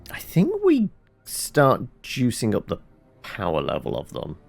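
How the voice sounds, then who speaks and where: A man's voice: mid-range voice, huge pitch swings, unhurried, gravelly, soft background music. A man doing casual gameplay commentary as a streamer, musing aloud and answering his own questions. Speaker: video game streamer.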